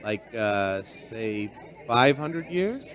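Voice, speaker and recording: An actor in a radio drama playing a friendly, curious barkeep turned amateur sleuth, with a folksy, hesitant, probing way of talking. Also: male voice, on the low side, big pitch swings, drawn-out words, a bit bright, a faint crowd, heavily acted. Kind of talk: radio drama acting and narration.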